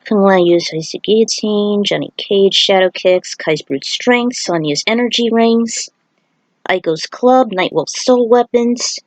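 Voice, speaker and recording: A woman's voice: mid-range voice, dry recording, rough recording. A woman reading an action story aloud as a narrator, with casual asides. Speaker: fan fiction author reading aloud.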